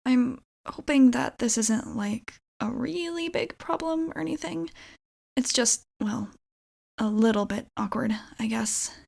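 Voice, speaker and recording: A woman playing an awkward, nervous young vampire roommate in an ASMR roleplay, halting and rambling apologetically. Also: a little high, gravelly, a little mellow. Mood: sheepish, bargaining.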